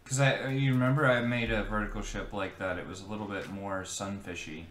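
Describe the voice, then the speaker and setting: A man's voice: lowish voice, steady tone, fairly quick, fairly bright tone, reverberant. A man chatting casually and with mild swearing as he plays a video game, in a laid-back manner. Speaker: co-host of a gaming chat show. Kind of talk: casual chat while playing a video game. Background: music.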